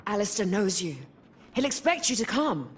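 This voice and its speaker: Earnest female rebel, medium pitch, woman acting. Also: sing-song, moderate pace, a little hiss or hum, close to an everyday voice. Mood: urgent concern. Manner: earnest, firm. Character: urgent, loyal female rebel comrade. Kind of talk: dramatic video game cutscene acting.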